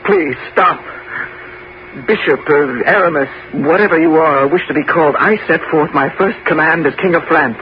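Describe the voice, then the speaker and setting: A man acts out a young French king, tender-hearted but commanding. His fairly high voice is earnest and stately, in the theatrical manner of 1970s radio drama. The broadcast is dull with faint noise. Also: normal speed, close to an everyday voice. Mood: pained command.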